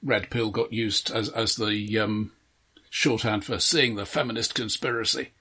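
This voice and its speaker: A man co-hosting a casual film discussion, giving his opinions in a deliberate, analytical way. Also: on the low side, fairly even intonation, sharp tone, slightly rough. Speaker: podcast co-host.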